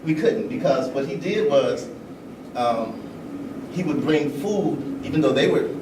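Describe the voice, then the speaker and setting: A man giving a personal account in an informal discussion, in short, halting phrases with pauses. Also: medium pitch, animated. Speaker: panel speaker. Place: large room at a live event. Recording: roomy, distant mic, clear background noise.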